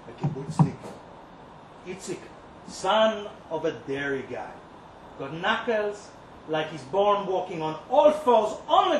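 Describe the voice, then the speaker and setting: Male reader as cocky, lovesick working guy for a live story monologue; streetwise, confiding, unhurried delivery, heard in a roomy space. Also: high voice. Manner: colloquial, streetwise, confiding, rambling. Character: cocky, lovesick, resentful male kibbutz kitchen worker. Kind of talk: dramatic monologue read live. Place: indoor live reading event. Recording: roomy, distant live-event recording, faint noise.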